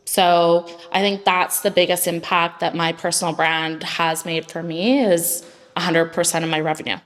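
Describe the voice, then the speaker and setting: A woman's voice: fairly low pitch, steady tone, normal speed, fairly bright tone, big-room sound. A woman founder speaking on a panel in a casual way, often saying "like". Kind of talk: panel discussion talk.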